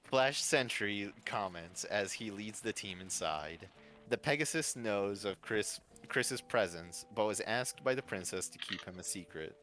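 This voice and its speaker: A man's voice: fairly low pitch, expressive, unhurried, fairly bright tone. A man narrating a fan-fiction story as its host. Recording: faint music behind. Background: faint music.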